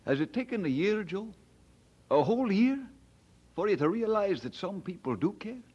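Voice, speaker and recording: Radio drama lead: humble, courteous minister, higher-pitched raspy voice, earnest delivery, dull vintage recording. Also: male voice, big pitch swings, moderate pace, mellow, full character voice. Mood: earnest, reassuring. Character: earnest, kindly, humble young male Scottish preacher.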